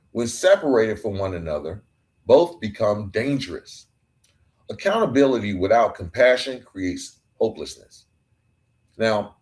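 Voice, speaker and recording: A man hosting a podcast, delivering an earnest reflective monologue that builds through emphatic repeated phrases. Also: mid-range voice. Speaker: podcast host.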